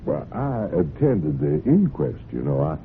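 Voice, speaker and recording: A man's voice: extremely low pitch, big pitch swings, a little slow, exaggerated voice. An actor playing a genial, pushy horseman, folksy and insistent, in an old-time radio drama. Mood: insistent, confident. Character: persistent, cocksure horseman, genial but pushy buyer. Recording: muffled, noisy old radio broadcast.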